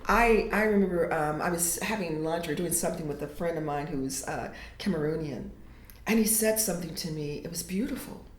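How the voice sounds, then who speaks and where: A woman poet reading her verse slowly and dramatically, sinking to whispers at times, then talking casually about her writing. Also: low-pitched. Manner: slow, dramatic reading with hushed whispered lines. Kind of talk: poetry reading and casual interview. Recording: echoey room.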